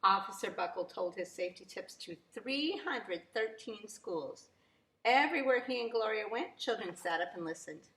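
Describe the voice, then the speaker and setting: A woman reading a picture book aloud to young children as a teacher, very expressively, with drawn-out emphasis. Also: medium pitch, lively intonation, a bit bright, slight background noise. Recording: roomy, echoing sound.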